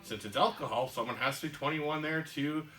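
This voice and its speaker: Guy rambling through a story on his podcast, bright voice, lots of ums. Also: on the high side, a little fast. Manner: rambling storyteller with many fillers. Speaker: podcast co-host. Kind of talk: casual chat.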